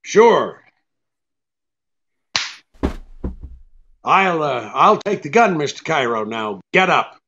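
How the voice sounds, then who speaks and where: A man's voice: fairly high pitch, very animated, measured pace, pushed and bright, highs cut off, slight background noise, full character voice. A man playing a wry, tough, unflappable private detective in a 1940s-style radio drama, with an offhand way of questioning. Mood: cool, offhand.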